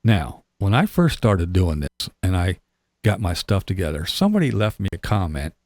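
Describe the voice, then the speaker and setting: A man's voice: low voice, very animated, normal speed, darkish tone, dry recording. A middle-aged man hosting a casual interview, playful and joking. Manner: playful, joking, laughs easily. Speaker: podcast host.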